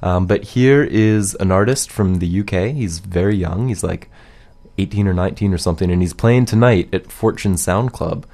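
Very deep-voiced woman, radio co-host. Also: normal speed, warm tone. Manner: hesitant, playful, repeats phrases. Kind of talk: casual music show chat.

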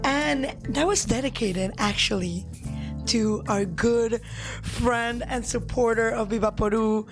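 A young adult woman co-hosting a radio show, playful and quick to laugh, repeating phrases for emphasis. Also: fairly high pitch, music in the background. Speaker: radio co-host. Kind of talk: casual radio chat. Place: radio studio.

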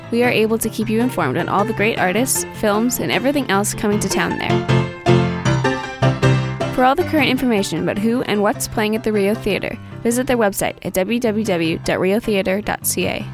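A woman's voice: medium pitch, a little fast. A woman announcer reading a scripted sponsorship message for radio. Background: music with piano.

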